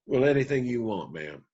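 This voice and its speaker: A man in a screenplay table read playing a remorseful absent father and former drunk, speaking haltingly and contritely. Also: mid-range voice, darkish tone, husky, lo-fi sound, heavily acted. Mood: humble, wry.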